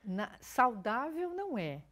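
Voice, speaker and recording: Measured woman explaining voice care. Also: a little high, sing-song, fairly bright tone. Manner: measured, explains with everyday examples. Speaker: speech therapist guest. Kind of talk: explanatory interview answers.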